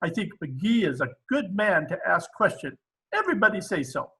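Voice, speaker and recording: A man playing a jolly Swedish immigrant family man in a radio comedy, with halting, broken English. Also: high voice, very animated, pushed and bright, exaggerated voice. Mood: jolly, joking. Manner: halting, broken English, chuckling at own jokes. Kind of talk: old-time radio comedy acting.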